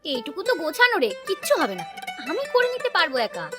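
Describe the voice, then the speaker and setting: A woman's voice: very high-pitched, wildly swooping pitch, fast talker, pushed and bright, soft background music, exaggerated voice. A woman playing an anxious, kindly mother-in-law in a cartoon, motherly and fretful. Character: anxious, kindly middle-class mother-in-law of grown son. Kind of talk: cartoon voice acting, domestic moral story.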